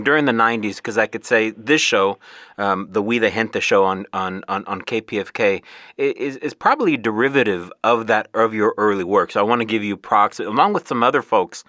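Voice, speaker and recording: A man's voice: fairly low pitch, sharp tone, no room sound. Man, radio host, studio mic, dry close sound, stumbles on words. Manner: stumbling, repeats words while reading intros. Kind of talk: radio interview.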